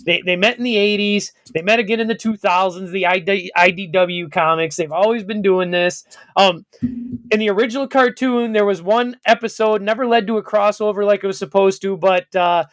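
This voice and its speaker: A man's voice: sits high, steady tone, normal speed, fairly bright tone. A man co-hosting a casual talk show, blunt and swearing freely, often breaking into laughs. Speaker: co-host. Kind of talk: casual podcast banter.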